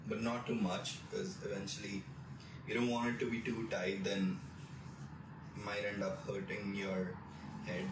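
A young man giving a casual how-to demonstration, explaining step by step in a halting way as he works with his hands. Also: lowish voice, flat delivery, background noise. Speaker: tutorial presenter. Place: small bedroom, indoors. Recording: roomy echo, distant mic, music behind. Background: background music.

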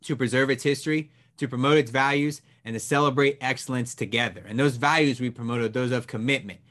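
A young man hosting a school program, introducing and interviewing a guest with an enthusiastic, energetic delivery. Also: mid-range voice, quick pace. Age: young adult. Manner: enthusiastic, energetic, talking with his hands. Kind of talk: upbeat presenter introduction and interview. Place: small room with a brick wall and memorabilia.

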